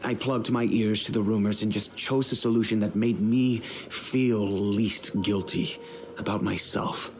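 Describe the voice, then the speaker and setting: An actor playing a middle-aged, remorseful wealthy merchant and father in a video game, speaking in a measured, earnest, formal way. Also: on the low side, steady tone, a little mellow, slight background noise, lightly acted. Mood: regretful, troubled. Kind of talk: dramatic video game dialogue.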